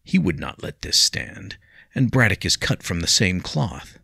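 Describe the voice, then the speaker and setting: A brooding young nobleman in a storybook tale, heated and bitter with remorse , as a man acts him out. The voice is very deep and gravelly with a husky edge, close and dry. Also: big pitch swings, unhurried, bright, forceful tone, no echo, exaggerated voice.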